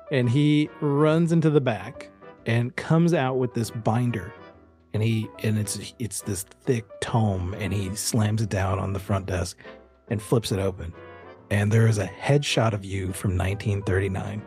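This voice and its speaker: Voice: medium pitch, steady tone, a little slow, a little mellow, faint music behind. A game master narrating a tabletop roleplaying game informally, improvising descriptions.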